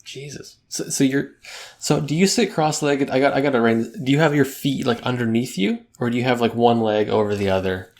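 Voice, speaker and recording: Gravelly, teasing podcast co-host man. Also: mid-range voice, a little fast, darkish tone. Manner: teasing, wisecracking, laughing. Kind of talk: casual chat.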